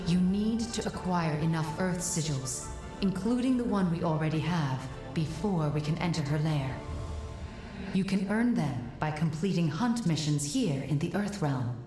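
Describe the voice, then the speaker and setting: A calm, wise mystic guide from a fantasy game. Her lowish voice echoes over music, composed and gently commanding. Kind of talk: cinematic fantasy game acting.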